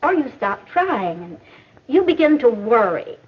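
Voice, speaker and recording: An American actress playing a patient, instructive female mentor in a 1950s educational film, explaining in a measured, didactic way. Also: a little high, very animated, husky, exaggerated voice. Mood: encouraging, instructive. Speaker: teacher or counselor in an educational film. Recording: muffled old 1950s film soundtrack.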